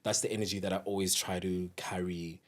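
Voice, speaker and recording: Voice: low voice, little pitch movement, a little fast. A podcast guest with a South African accent, chatting casually and conversationally in an interview.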